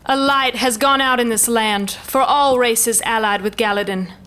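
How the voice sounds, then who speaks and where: A woman's voice: on the high side, normal speed, a bit bright, clear, resonant tone, close to an everyday voice. A woman playing a noble elven commander in a fantasy audio drama, speaking in formal, stately oratory. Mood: solemn, mournful.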